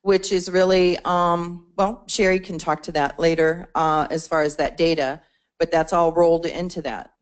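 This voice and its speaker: Lower-voiced woman explaining at a meeting. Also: fairly even intonation, normal speed, clean tone. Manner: conversational, many fillers and restarts. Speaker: state support presenter. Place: meeting room.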